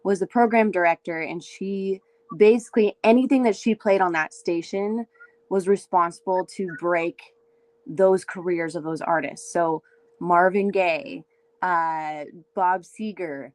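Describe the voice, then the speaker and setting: A young woman from Windsor, Ontario with a raspy voice, chatting loosely as a singer-songwriter guest, full of fillers. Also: lowish voice, a little slow, darkish tone, no echo, rough recording. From Windsor, Ontario, Canada. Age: young adult. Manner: chatty, many fillers, laughs easily. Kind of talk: casual interview chat.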